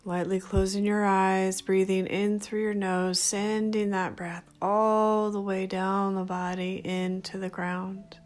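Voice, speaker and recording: A woman leading a guided meditation in a gentle, soothing, unhurried manner, speaking in short phrases. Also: mid-range voice, level tone. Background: background music.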